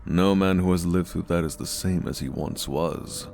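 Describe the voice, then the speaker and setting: An actor playing a stern, condescending senior scientist in an audio drama, speaking in measured, authoritative phrases. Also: male voice, low-pitched, gravelly, a little mellow, a little hiss or hum. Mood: composed, measured. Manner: measured, authoritative, clipped orders.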